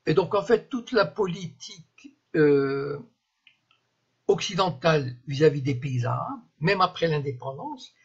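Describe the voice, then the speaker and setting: A man in his seventies from Morocco, whose first language is Moroccan Arabic, giving an unscripted live-stream monologue, often pausing and restarting to find his words. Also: fairly high pitch, animated, breathy edge. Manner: halting, searching for words, frequent restarts. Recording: dull lo-fi live-stream audio.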